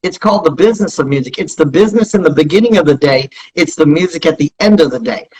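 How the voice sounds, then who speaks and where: A man with a high, husky voice gives promotional interview talk as a guest. He speaks emphatically and circles back to repeat his key phrases. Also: poor audio. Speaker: interview guest, music business founder.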